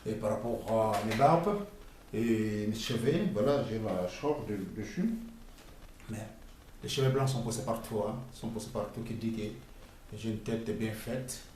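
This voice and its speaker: A middle-aged man from the Congo with a Congolese French accent, talking in an emphatic, halting, scolding way for his video blog. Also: fairly low pitch, darkish tone, a little hiss or hum. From Democratic Republic of the Congo. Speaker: vlogger. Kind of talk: casual spoken commentary. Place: outdoors near a construction site. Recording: echoey and distant with faint ambience.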